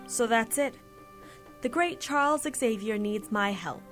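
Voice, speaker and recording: Woman acting a proud sneering character in an audio drama, highish voice, impatient and cutting. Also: unhurried, faint music behind, close to an everyday voice. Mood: mocking, demanding. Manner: haughty, cutting, mocking. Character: proud, impatient, disdainful Japanese mutant acquaintance.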